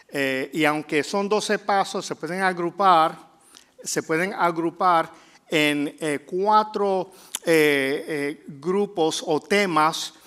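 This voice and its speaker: Puerto Rican pastor, slightly high voice. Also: male voice, fairly bright tone, clean tone. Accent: Puerto Rican Spanish. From Puerto Rico. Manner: conversational, many filler "eh" pauses. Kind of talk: sermon.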